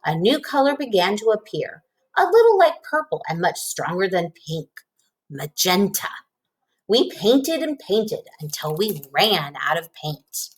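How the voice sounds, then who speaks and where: A woman narrates a children's storybook aloud. Her voice sits at a middle pitch and moves expressively up and down, in the manner of someone reading to young listeners. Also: sing-song, a little slow, rough recording. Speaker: storybook reader.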